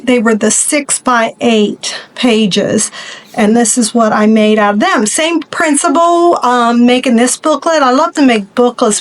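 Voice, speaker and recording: Woman host, middle-aged, higher voice, hoarse, loose rambling show-and-tell delivery. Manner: chatty, rambling, many ums and asides. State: losing her voice. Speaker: craft video host. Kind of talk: casual show-and-tell of crafts.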